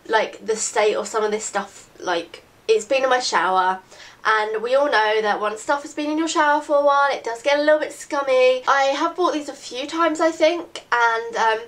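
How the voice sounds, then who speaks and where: A slightly high-voiced girl in her late teens, talking to her camera from her bedroom. She sounds bunged up from a recent cold. She rambles in a friendly way, full of "like" and "really, really". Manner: chatty, rambling, lots of "like" and "really, really". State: congested from a cold. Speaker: beauty vlogger. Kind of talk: casual chat to camera. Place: bedroom, indoors. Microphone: camera in front at desk distance.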